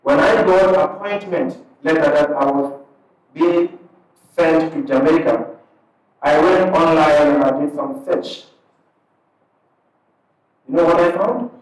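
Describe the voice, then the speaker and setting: A man from Ghana with a Ghanaian accent, an imam speaking to a gathered audience in a conversational, unhurried way, breaking his sentences into short pieces. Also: fairly high pitch. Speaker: imam and parish missionary. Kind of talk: addressing an audience at a community event. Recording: very echoey hall, speaker far from the mic.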